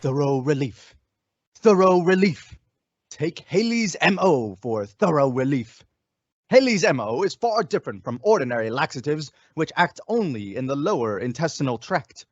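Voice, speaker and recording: A man's voice: fairly high pitch, big pitch swings, normal speed, no room sound. A man reading a scripted old-time radio commercial as an announcer, with an emphatic sales delivery. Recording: dull lo-fi radio recording.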